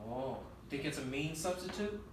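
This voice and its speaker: A man's voice: on the high side, lively intonation, normal speed. A man reading a children's story aloud interactively, narrating and prompting the listener with questions. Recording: very echoey room, distant mic, faint noise.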